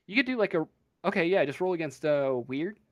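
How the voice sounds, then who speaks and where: A game master improvising narration for a tabletop roleplaying game, pausing often to think. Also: medium pitch, animated, a little hiss or hum.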